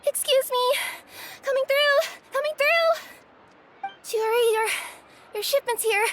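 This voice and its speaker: A woman's voice: extremely high pitch, takes it slowly, a bit bright, full character voice. An actress playing a flustered, eager young female courier in a video game, stammering and apologetic. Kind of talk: video game character acting.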